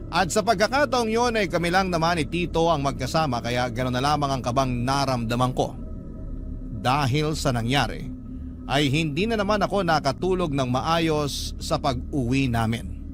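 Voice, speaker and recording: A man's voice: mid-range voice, natural voice. A Filipino man playing a young narrator who earnestly recounts his ghostly encounters in a dramatic radio drama.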